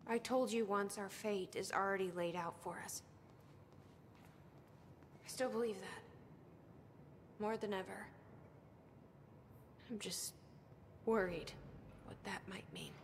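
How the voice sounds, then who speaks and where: A woman's voice: medium pitch, fairly even intonation, slow, pauses often, big-room sound. Casting: woman in her thirties, game resistance fighter, grimly resigned, restrained delivery, faint background noise. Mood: weary, guarded. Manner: grave, restrained, weighted delivery. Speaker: video game character actor. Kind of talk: cinematic video game dramatic acting.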